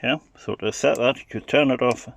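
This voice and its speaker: A man's voice: mid-range voice, normal speed, creaky, heavy background noise. A man giving an informal, unscripted gadget demonstration in a hesitant, matter-of-fact way. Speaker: hobbyist product demonstrator.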